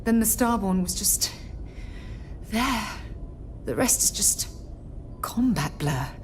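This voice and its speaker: An actress playing an injured, caring space explorer in a video game, shaken and weary, speaking haltingly and earnestly. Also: female voice, mid-range voice, lively intonation, a little hiss or hum. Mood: shaken, relieved.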